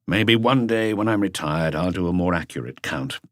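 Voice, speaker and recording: A man voicing a world-weary veteran police inspector in an audiobook, terse, measured and reflective. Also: low-pitched, expressive, a bit bright, no room sound, studio quality. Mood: wry, reflective. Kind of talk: audiobook character dialogue.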